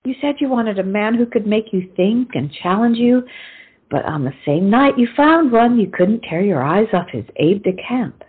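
A woman's voice: mid-range voice, very animated, moderate pace, husky, narrow, tinny audio. A woman playing a blunt, outspoken, loyal friend who scolds theatrically in a period stage comedy. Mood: scolding.

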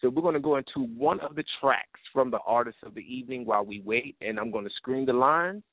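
A man's voice: mid-range voice, fast talker. A man hosting an internet radio show in a casual, informal, rambling, slangy way. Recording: roomy, phone-like internet radio line.

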